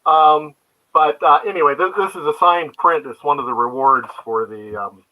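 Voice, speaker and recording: A man's voice: on the high side, steady tone, moderate pace, phone-quality sound. An older man, a guest comics creator, telling rambling anecdotes in a casual interview.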